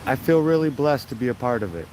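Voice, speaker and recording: Man, raspy voice, rambling upbeat vlog chat, outdoors, faint traffic behind. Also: mid-range voice, sing-song, quick pace, a little mellow, poor audio. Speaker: vlogger. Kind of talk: casual chat to viewers.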